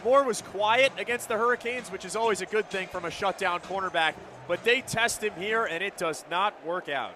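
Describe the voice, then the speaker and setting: An American man with a moderately high voice offers radio football analysis. His delivery is conversational and opinionated, filling the gaps between plays. Stadium noise is clearly audible behind him. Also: normal speed, few pauses. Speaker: radio color commentator. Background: outdoor stadium ambience.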